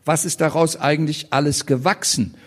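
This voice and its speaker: Emphatic older man, fairly high voice. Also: fairly even intonation, moderate pace. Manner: passionate and emphatic, with indignant outbursts. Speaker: politician guest on a panel. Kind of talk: animated stage conversation. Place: public event in front of an audience.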